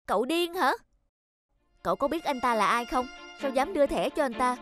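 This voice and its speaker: Woman acting a young cartoon lady, high voice, blunt and fed up, scolding her friend. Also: expressive, moderate pace, a bit bright, faint music behind. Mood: exasperated. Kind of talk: animated cartoon dubbing.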